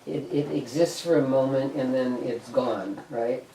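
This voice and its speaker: A man with a soft-edged, mellow tone throws out ideas in a rambling brainstorm. He sounds a little off-mic in an echoing room. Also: mid-range voice, unhurried, gravelly. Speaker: group member pitching staging ideas. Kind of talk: informal brainstorming talk. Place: indoor meeting room. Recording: roomy, distant mic, faint noise.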